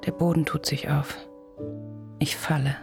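An actress playing a battered wife near forty, secretly in love, intimate and confessional in a radio drama. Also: female voice, sits low, flat delivery, unhurried, creaky. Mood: reflective, intimate. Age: around forty. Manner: intimate, confessional, emotionally charged. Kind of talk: German literary radio drama acting. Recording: music behind the voice.

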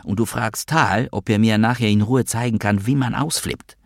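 A man's voice: lowish voice, normal speed, creaky. A man voicing a big, bossy woman in her twenties, commanding and insistent, in an audiobook. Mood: bossy, reproachful. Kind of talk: audiobook character voice.

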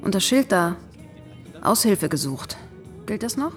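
An actress playing a guarded young woman on the run, speaking haltingly in a naturalistic radio drama. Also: mid-range voice, big pitch swings, faint music behind. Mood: tentative.

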